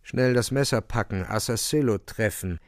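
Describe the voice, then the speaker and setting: A man's voice: fairly low pitch, moderate pace, no echo, studio quality. A man giving solemn, theatrical literary narration for a radio play.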